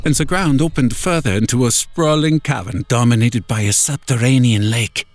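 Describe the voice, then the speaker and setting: A man's voice: medium pitch, moderate pace, a little hiss or hum. A man narrating a first-person horror story in a dramatic, scripted storytelling style. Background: music.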